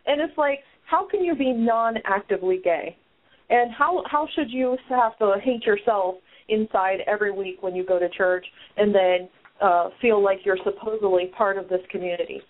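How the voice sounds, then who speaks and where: Brief: female host, earnest, mid-pitched, phone-quality audio. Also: moderate pace. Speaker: podcast host. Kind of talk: casual call-in discussion. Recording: tinny phone-line audio.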